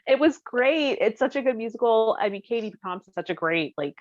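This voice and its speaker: A woman's voice: fairly high pitch, animated, fairly quick, no echo, highs cut off. A woman co-hosting a podcast, sharing personal stories in a casual, candid, conversational way. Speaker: podcast co-host. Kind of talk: casual chat, personal anecdotes.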